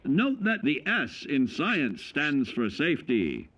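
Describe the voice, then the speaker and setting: Chirpy retro instructional-film narrator, lively pitch. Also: male voice, lo-fi sound, full character voice. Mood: upbeat, instructive. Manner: folksy, upbeat salesman-like delivery with measured emphasis. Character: cheerful male narrator of retro government survival educational films. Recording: vintage band-limited film sound, music behind. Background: music.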